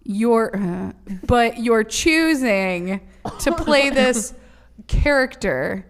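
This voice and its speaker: A woman's voice: on the high side, lively intonation, very slow. Late-20s woman on a podcast, teasing big-sister vibe, swears a lot, casual. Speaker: podcast co-host. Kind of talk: casual chat.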